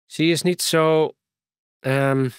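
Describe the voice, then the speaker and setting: A friendly young Ukrainian woman, as a male narrator puts her on, pitched up high and talking slowly with a Ukrainian accent. She hesitates and searches for her words in a dry, close storybook reading. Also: fairly even intonation, clear, resonant tone, no room sound. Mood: eager, halting.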